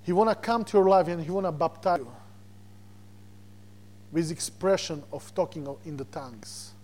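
A man from Slovakia with a Slovak accent, preaching a sermon as a guest preacher, animated and repeating words as he searches for them. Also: on the high side, moderate pace. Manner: animated, repeats words when searching, chuckles between points.

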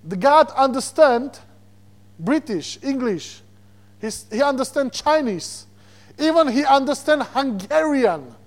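High-voiced Slovak-accented preacher. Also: male voice, slow pace. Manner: animated, repeats words when searching, chuckles between points. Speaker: guest preacher. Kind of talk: sermon.

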